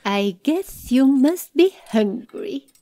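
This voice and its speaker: A woman playing a hospitable middle-aged hobbit lady in a fantasy audio drama, gracious and unhurried. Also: sits high, expressive, dark tone, dry recording.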